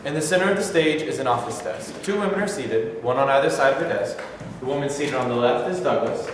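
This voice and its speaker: A man's voice: medium pitch, fairly quick. Echoey, distant young man reading stage directions. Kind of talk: scripted narration at a staged reading. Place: mid-sized rehearsal studio, far camera. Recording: echoey hall, far from mic, faint noise.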